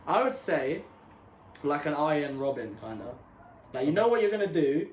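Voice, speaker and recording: A man's voice: on the high side, animated, normal speed, darkish tone. Easygoing young man, lots of agreeing. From Portugal. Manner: agreeable, laughing, lots of "yeah, yeah". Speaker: podcast co-host. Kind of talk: casual chat. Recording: echoey room with faint noise.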